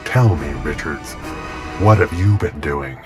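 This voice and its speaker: A man's voice: rumbling low, a little slow, over music. A man playing a cold, imperious, scheming supervillain who makes pompous, theatrical pronouncements in a superhero drama. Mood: gloating. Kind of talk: scripted superhero audio drama.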